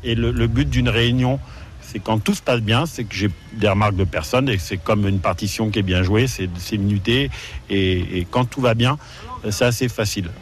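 Male site director, lowish voice, hesitant explanations. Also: normal speed. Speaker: racecourse director. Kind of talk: interview answers.

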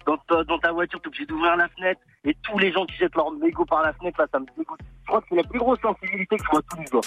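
Fast, high-voiced indignant male caller. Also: big pitch swings, creaky, husky, no room sound, highs cut off, soft background music. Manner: blunt, disgusted, rapid-fire complaint. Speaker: radio caller. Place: calling in by phone.

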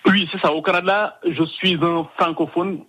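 A man's voice: fairly high pitch, fairly even intonation, normal speed, pushed and bright, slight background noise, rough recording. A man from Senegal, calling in to a radio show from Canada, talking with many fillers and restarts. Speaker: guest. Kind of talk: phone-in radio interview.

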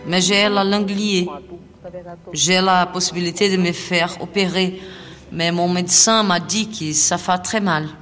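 Calm woman reading in a reverberant hall. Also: on the low side, unhurried, faint music behind. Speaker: reader performing an interview. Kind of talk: live public reading. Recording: long reverb from a live hall.

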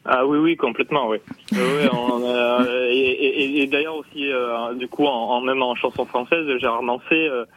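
A man, an electronic musician guest on a radio show, talking haltingly with many fillers. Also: mid-range voice, steady tone, a bit bright, rough recording. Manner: halting, full of fillers and restarts. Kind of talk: radio interview conversation.

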